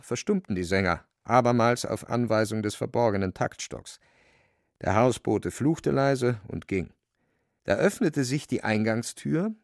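A man's voice: mid-range voice, creaky, no room sound, clean studio sound. A man narrating a literary radio play from a script.

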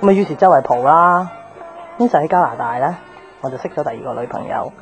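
An actress playing a guarded, jaded young tomboyish bar owner in a radio drama, offhand and slangy with a Hong Kong Cantonese accent. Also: female voice, low voice, lively intonation, quick pace. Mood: rueful, confiding. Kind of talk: naturalistic radio drama acting. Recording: music behind the voice.

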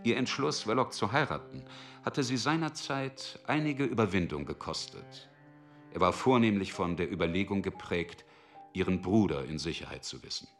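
Deep, sober narrator. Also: male voice, expressive, normal speed, soft background music. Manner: sober, explanatory. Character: explanatory narrator of a crime drama. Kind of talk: scripted narration.

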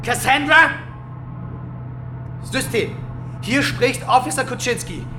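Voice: high-pitched, slow pace, bright, forceful tone, reverberant, faint music behind, heavily acted. An actor playing a defiant, desperate security officer locked in a cell in a science-fiction audio drama, urgent and commanding. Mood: furious, desperate.

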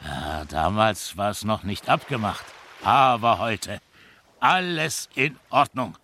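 An actor playing a simple, bashful carter with a halting, ponderous way of talking in a radio drama. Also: male voice, fairly low pitch, animated, sharp tone, a little hiss or hum, heavily acted. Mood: solemn, conspiratorial. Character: slow, awkward, simple carter, bashful suitor. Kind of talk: German radio drama acting.

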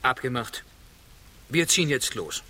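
An actor playing a coolly businesslike killer in an absurdist radio play, brisk and matter-of-fact. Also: male voice, mid-range voice, sing-song, background noise. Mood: coolly satisfied.

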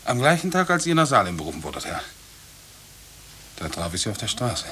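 An actor in a 1950s German radio play, playing a plain-spoken, stubborn and troubled villager with a halting, earnest delivery. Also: male voice, fairly low pitch, sing-song, sharp tone, slightly rough, heavily acted. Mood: earnest, reluctant. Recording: hissy 1950s radio recording.

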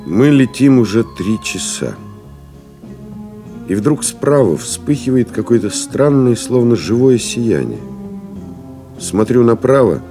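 An actor playing a reflective pilot-writer, narrating memories in a measured, solemn, literary radio-play style. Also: male voice, medium pitch, very animated, music in the background. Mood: tense, brisk. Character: reflective male pilot-writer recalling friends and desert flights.

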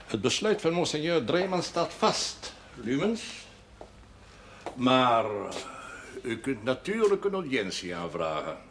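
An actor playing a formal, aloof church official in a television drama, with a dry, measured way of talking. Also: male voice, mid-range voice, very animated, a bit bright, heavily acted. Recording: faintly noisy 1970s television soundtrack.

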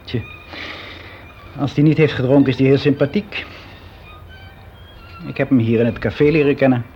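An actor playing a weary, resigned office clerk around sixty, confiding in a halting, reflective way in a naturalistic radio play. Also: male voice, medium pitch, steady tone, lightly acted. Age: 60s. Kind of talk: naturalistic radio drama monologue. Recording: dull, noisy radio play recording.

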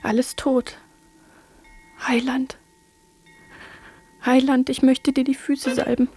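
An actress playing a remorseful young mother in a radio drama, halting and pleading as she reads scripture and prays. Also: high-pitched, completely flat delivery, mellow, husky, exaggerated voice. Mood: desolate. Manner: halting, pleading, sometimes whispering.

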